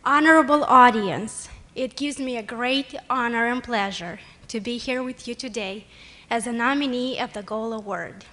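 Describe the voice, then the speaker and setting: A young woman delivers a prepared speech from a podium. Her voice sits a little high and rings bright and crisp. She reads carefully in a formal, earnest way into the microphone. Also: moderate pace. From Moldova. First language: Romanian. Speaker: award nominee. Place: luncheon hall.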